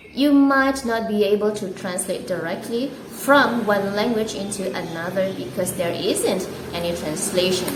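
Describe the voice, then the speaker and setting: A woman who speaks natively, hosting an English-learning channel and explaining a lesson slowly and deliberately, with some filler words. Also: mid-range voice. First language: Indonesian. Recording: echoey room with clear background noise.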